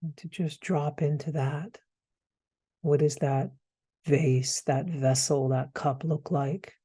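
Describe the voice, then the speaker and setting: A man leading a guided meditation, speaking gently in hesitant phrases with soft pauses. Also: fairly high pitch, fairly even intonation, slightly rough.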